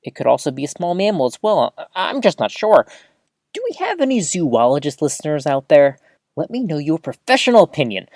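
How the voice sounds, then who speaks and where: A woman's voice: low-pitched, sing-song, brisk, a little mellow, no room sound, clean studio sound, exaggerated voice. A woman playing an eager, awkward small-town radio host in an audio drama, chatty and hesitant. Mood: sheepish, flustered.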